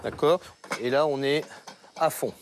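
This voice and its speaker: Instructive male chef, slightly high voice. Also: unhurried, noisy background. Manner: instructive, checking in with short tags. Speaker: chef demonstrating a recipe. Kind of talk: cooking demonstration. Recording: music behind the voice. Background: background music.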